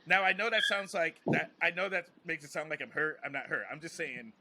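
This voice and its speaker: American male podcast host, casual but emphatic, forceful bright tone, repeats himself to build points, slight noise behind. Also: on the high side, animated, brisk. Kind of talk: casual opinionated podcast talk.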